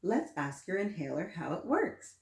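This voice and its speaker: A woman's voice: on the low side, sing-song, normal speed, gravelly. Storybook talking toothbrush, read by a woman: friendly, reassuring, keen helper; echoing room sound. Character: friendly, eager talking toothbrush, helpful buddy to a boy.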